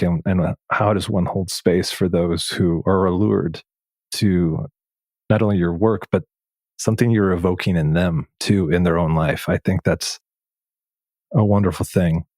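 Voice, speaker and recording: A man hosting a reflective interview podcast, speaking in measured, thoughtful phrases. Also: low voice, a little mellow, no echo. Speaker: podcast host.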